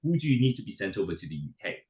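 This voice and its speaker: A hoarse man with a cold explains visa routes at a rapid clip. His voice sits very low, and he stumbles over repeated words. The room around him echoes. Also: very animated, dark tone. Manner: fast, with many repeated words and restarts. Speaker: immigration adviser. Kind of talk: webinar presentation.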